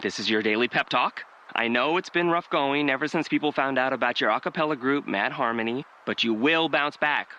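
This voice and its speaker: An actor playing a man giving himself a deadpan pep talk in a comic commercial. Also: mid-range voice, a little fast, lo-fi sound, natural voice. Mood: encouraging. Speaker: ad character.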